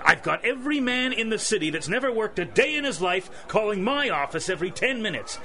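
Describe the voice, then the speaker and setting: An actor playing a flustered, blustering police chief who is formally polite and stammers, in a 1930s-style radio drama. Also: male voice, sits high, very animated, a little fast, pushed and bright, exaggerated voice. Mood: exasperated.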